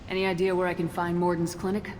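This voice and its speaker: Game heroine female actor: determined, intimidating soldier, deep female register, no-nonsense, slight noise and hall reverb. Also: level tone, fast, darkish tone, lightly acted. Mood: businesslike. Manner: firm, direct, no-nonsense.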